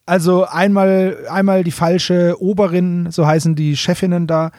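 A man's voice: high voice, little pitch movement, normal speed, a little mellow, no room sound, clean studio sound. A man co-hosting a podcast, explaining casually and repeating words for emphasis. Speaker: podcast co-host.

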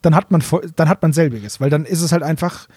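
A middle-aged German man with a slightly high voice co-hosts a podcast. He explains things in casual fan talk, often restating himself as he goes. The sound is dry and close. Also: quick pace, darkish tone, breathy edge, no room sound. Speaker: podcast co-host reviewing audio dramas. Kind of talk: casual, enthusiastic fan chat.

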